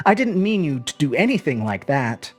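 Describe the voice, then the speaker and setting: A woman's voice: low-pitched, big pitch swings, normal speed, a little mellow, faint music behind, voice pushed up. A woman voicing a polite, puzzled seventeen-year-old boy with an earnest, hesitant way of musing aloud.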